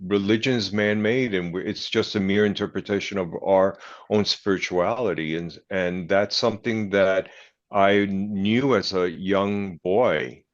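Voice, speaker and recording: Low-voiced, slow-spoken older man, muffled audio. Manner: deliberate, halting, repeats phrases, earnest. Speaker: interview guest, priest and author. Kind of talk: reflective conversational interview.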